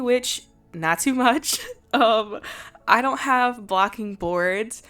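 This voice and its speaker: A woman's voice: lowish voice, fairly bright tone, clear, resonant tone. A woman with a nasal, congested voice from a cold, chatting playfully and poking fun at herself while vlogging about her crafting. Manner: chatty, playful and self-mocking. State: congested, stuffy-nosed from a cold. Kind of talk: casual vlog chat and voice-over.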